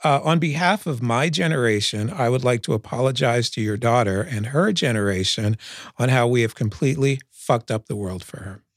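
A man's voice: mid-range voice, moderate pace, no room sound, studio quality. Joking middle-aged man co-host. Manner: joking, self-deprecating banter. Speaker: podcast co-host. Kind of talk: casual podcast chat.

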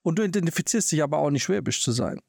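A man's voice: on the high side, animated, very fast, creaky, darkish tone, husky, no echo, clean studio sound. A man co-hosting a casual podcast, posing reflective questions. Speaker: podcast co-host.

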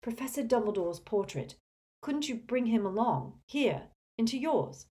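A woman's voice: on the high side, lively intonation, a little slow, mellow, pitched above the performer's own voice. A woman voicing a direct, urgent teenage boy wizard in an audiobook. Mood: eager. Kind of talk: audiobook character acting.

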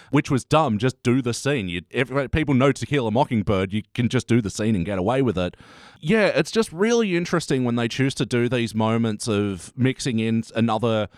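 A man's voice: medium pitch, no room sound, studio quality. A man hosting a casual review chat podcast, blunt and given to swearing. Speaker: podcast host.

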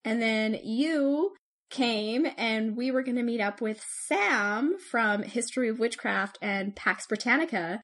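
A woman co-hosting a podcast, telling stories in an animated, chatty way. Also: on the high side, a little slow. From Canada. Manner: chatty, animated storytelling with laughs. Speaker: podcast co-host. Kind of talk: casual chat.

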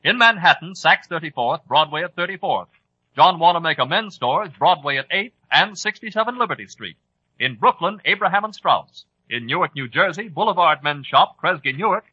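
Husky American man announcing on radio. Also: fairly high pitch, lively intonation, moderate pace. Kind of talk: 1940s scripted radio store listing. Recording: dull, lo-fi old radio recording.

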